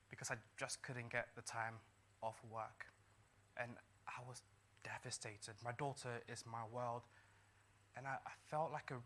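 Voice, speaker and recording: A man's voice: medium pitch, sharp tone. A middle-aged man from Ghana, a doctor, telling his success story to a live audience in an earnest way, repeating key lines for emphasis. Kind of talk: motivational talk to a live audience.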